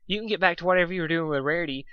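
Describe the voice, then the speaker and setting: A man's voice: on the high side, racing, clean tone, dry recording, dull audio, voice pushed up, close to an everyday voice. A man voicing a timid, apologetic young female pony in a storybook reading, hesitant and stammering.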